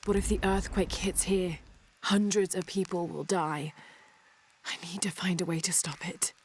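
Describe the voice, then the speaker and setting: Woman voicing a brisk game adventurer, mid voice, clear ambient noise. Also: on the low side, fairly even intonation, darkish tone, close to an everyday voice. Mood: worried. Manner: brisk and focused. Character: adult female adventurer, determined archaeologist. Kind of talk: video game cutscene acting.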